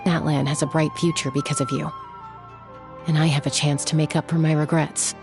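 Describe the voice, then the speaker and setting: An actress playing a gentle, regretful elder sister in a video game, with a subdued, restrained delivery. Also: female voice, low-pitched, flat delivery, brisk. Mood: wistful, gentle. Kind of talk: video game character dialogue. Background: background music.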